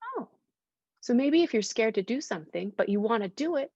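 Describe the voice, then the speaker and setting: A woman reading a storybook aloud to young children, expressive and simply phrased, stopping to ask them questions. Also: fairly high pitch, fairly quick, slightly rough, dry recording. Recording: dull, lo-fi sound.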